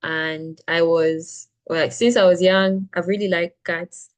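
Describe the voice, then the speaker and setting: Chatty young woman tutor, lower voice. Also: fairly even intonation, a little slow. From Zambia. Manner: chatty, frequent "like" and "right?", restarts sentences. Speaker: SAT reading tutor. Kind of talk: casual classroom teaching.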